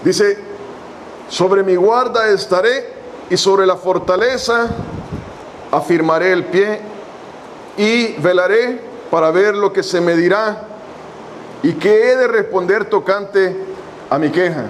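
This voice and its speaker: A man with a high voice preaches to his congregation, slowing down to read scripture aloud. A faint hiss of noise sits behind him in the church room. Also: poor audio. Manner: preaching with long pauses, reading scripture slowly. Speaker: pastor. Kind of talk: sermon. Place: church hall.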